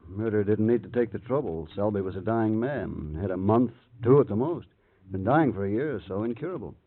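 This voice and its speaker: Weary clinic doctor, terse and fatalistic, lower register, vintage radio drama, thin phone-like sound. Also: male voice, expressive, a little fast. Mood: flat, fatalistic. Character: weary, matter-of-fact male clinic doctor. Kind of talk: 1950s radio crime drama acting. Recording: old radio, phone-like band, faint noise.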